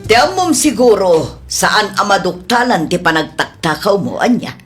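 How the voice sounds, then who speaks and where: A woman's voice: medium pitch, big pitch swings, soft background music, natural voice. Woman acting a stern village captain, swooping intonation. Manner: admonishing, questioning, deliberate. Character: stern female village captain scolding a culprit. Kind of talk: Ilocano comedy audio drama acting.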